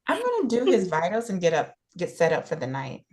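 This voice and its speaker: Lively, practical older caregiver played by an actress. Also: female voice, fairly low pitch, a little fast. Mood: practical, coaxing. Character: practical, good-humoured older female home caregiver. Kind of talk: table read of a play, naturalistic acting.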